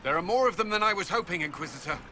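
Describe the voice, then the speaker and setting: An actor playing a steady, dutiful army commander in a video game, giving clipped, serious military briefings. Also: male voice, sits high, very fast, a little hiss or hum. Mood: urgent. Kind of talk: dramatic video game acting.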